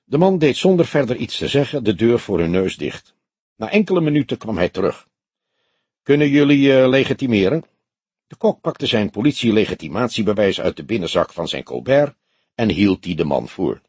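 A man's voice: lowish voice, sing-song, fairly quick, darkish tone, husky, dry recording. A man reading a detective novel aloud as scripted audiobook narration.

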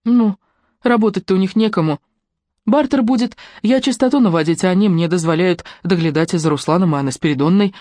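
A narrator voicing a shy but bold young woman who speaks Russian with a Ukrainian accent, in a lively, folksy manner. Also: on the low side, brisk, dry recording, natural voice. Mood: spirited.

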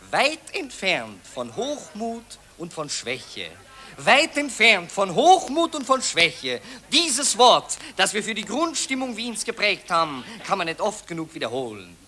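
Pompous newspaper man, high lively voice, bright, declaiming grandly in a radio play. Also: heavily acted. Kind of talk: 1960s radio drama acting.

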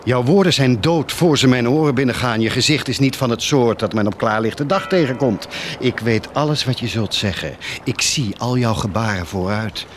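With clear noise behind him, a humble parish priest , acted by a man, speaks in a radio play at a medium pitch. He is anguished and guilt-ridden, with a solemn, halting, tormented delivery. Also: lightly acted. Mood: feverish denial. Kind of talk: radio drama acting.

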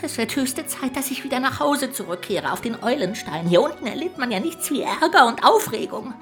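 A little ghost from a children's radio play. It is unhappy and earnest, lamenting its blunders in an expressive, childlike way. Music plays clearly behind it. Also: female voice, high voice, a little fast. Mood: dismayed, self-reproachful. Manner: expressive, lamenting, swinging between exclamations and hushed confiding.

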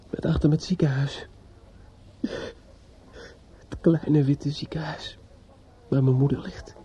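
Husky, distraught young man. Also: a little high, mellow. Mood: brooding. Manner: halting, stammering, repeats himself. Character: distraught, bitter young bridegroom-to-be, grieving and obsessive. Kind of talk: radio play acting. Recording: dull lo-fi radio play recording.

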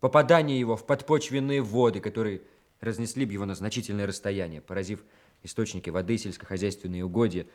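An actor playing a serious, self-assured senior lieutenant sapper who explains matter-of-factly in a radio play. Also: male voice, on the low side, quick pace, darkish tone, close to an everyday voice. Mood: grave, explaining. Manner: matter-of-fact, technical explaining. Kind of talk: Soviet radio play acting.

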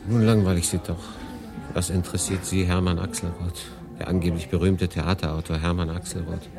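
A man's voice: deep voice, flat delivery, brisk. An actor playing a weary, reflective refugee who looks back and narrates his story in a brooding, confiding way in a radio play. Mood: wry, confiding. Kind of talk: dramatic first-person narration in a radio play. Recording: music behind the voice.

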